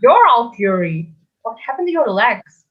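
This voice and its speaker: A woman's voice: on the high side, big pitch swings, unhurried. A woman playing an impulsive, bewildered young demigod boy in a theatrical table read, earnest and exclamatory. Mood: puzzled, evasive. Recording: dull lo-fi audio with music.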